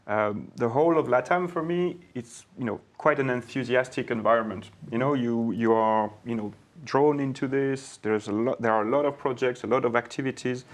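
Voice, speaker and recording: A man's voice: mid-range voice, normal speed, hardly any pauses. A man with a mid-range voice speaking on a business panel. He talks in a loose, conversational way, peppered with little fillers. The delivery is easygoing and unscripted. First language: not Portuguese. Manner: conversational, peppered with "you know".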